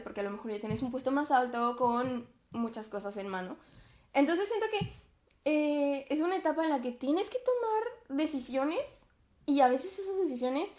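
A woman's voice: high voice, roomy sound. A woman, hosting a podcast in a chatty, reflective way, with lots of fillers and little laughs. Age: 20s. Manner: chatty, many fillers and self-conscious laughs. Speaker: podcast host. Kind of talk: reflective casual monologue.

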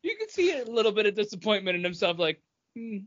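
A woman's voice: medium pitch, big pitch swings, quick pace, muffled recording. A woman co-hosting a podcast in casual, joking chat. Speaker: podcast co-host.

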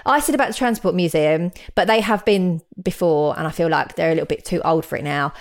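A woman in her forties co-hosting a podcast, chatting casually and telling stories with lively exclamations. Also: lowish voice, fairly quick. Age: 40s. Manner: chatty, animated storytelling with rising exclamations. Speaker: podcast co-host. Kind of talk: casual chat.

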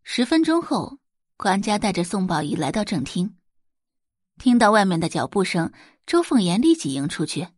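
A woman's voice: medium pitch, big pitch swings, normal speed, a little mellow, breathy edge, no room sound, clean studio sound. A woman narrating a scripted audio drama as a storyteller, in a dramatic reading style.

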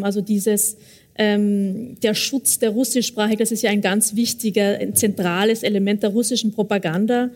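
A woman interviewer who asks long, measured questions and gives frequent "mhm"s while her guest answers. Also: mid-range voice, darkish tone. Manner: measured, with back-channel "mhm"s. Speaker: interviewer, moderator.

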